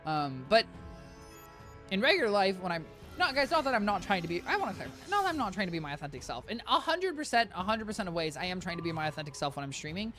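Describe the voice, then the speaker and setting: A game streamer with a high, squeaky voice chatting casually on a live stream, rambling and correcting themselves often while talking to chat. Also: sing-song, fast, fairly bright tone, faint music behind. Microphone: desk mic with compressor.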